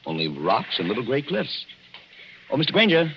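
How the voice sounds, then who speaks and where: An actor playing a devoted, cheerful young American honeymooner in a 1940s radio drama. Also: male voice, medium pitch, wildly swooping pitch, normal speed. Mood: puzzled. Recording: muffled, noisy 1940s radio recording.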